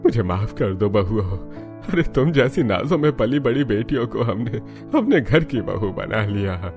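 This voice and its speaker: An old, ailing village father-in-law, acted by a man for a cartoon story, speaks in a gravelly voice with swooping intonation. He sounds apologetic and worried, and music plays clearly behind him. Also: on the low side, animated, a little slow, darkish tone. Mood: remorseful. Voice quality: gravelly, coughing. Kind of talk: Hindi cartoon story voice acting. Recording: music bed under the voice.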